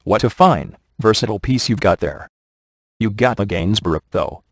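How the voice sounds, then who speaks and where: A sarcastic, laid-back ghost hunter from a video game. His voice sits low and is recorded close and dry. He talks in glib wisecracks with a sardonic edge. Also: animated, normal speed, dry recording. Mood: wry, glib. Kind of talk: scripted video game character dialogue.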